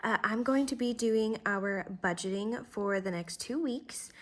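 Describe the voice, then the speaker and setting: A woman with a slightly congested voice from a cold talks casually through her budget as she writes. She goes slowly and thinks aloud over each number, in a friendly, chatty way. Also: on the high side. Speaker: vlogger doing her budget. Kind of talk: casual talk-through while writing.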